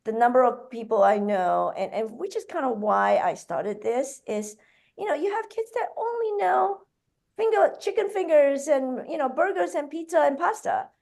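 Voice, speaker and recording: A woman's voice: high voice, lively intonation, moderate pace, creaky, a little mellow. A woman from Malaysia, a guest author, answering interview questions with many hesitations. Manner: hesitant, with many fillers and restarts. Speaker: guest author and food entrepreneur.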